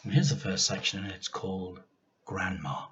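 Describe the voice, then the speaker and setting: A man reading a children's storybook aloud like a teacher, with expressive, deliberate and emphatic delivery. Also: low-pitched, muffled recording.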